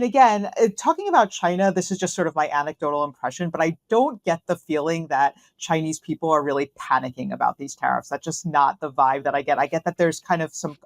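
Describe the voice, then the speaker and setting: A woman, a guest on a crypto interview show, speaking in a quick, animated, fluent way from Tokyo. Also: low-pitched, no echo, clean studio sound. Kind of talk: casual interview talk. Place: home office in Tokyo.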